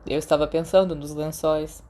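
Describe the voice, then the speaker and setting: A woman voicing a cautious, thoughtful teenage schoolboy wizard who grumbles gently in an audiobook story. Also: on the high side, steady tone, a little fast, pitched below the performer's own voice, natural voice.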